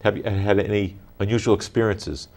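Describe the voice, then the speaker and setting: Low-voiced older male talk show host. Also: fairly even intonation, a little fast, a little mellow. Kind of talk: studio interview questioning. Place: small TV studio, indoors.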